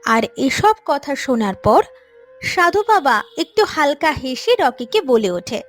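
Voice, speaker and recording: A woman's voice: sits high, very animated, slow. A woman narrating a scripted story as the storyteller. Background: soft music.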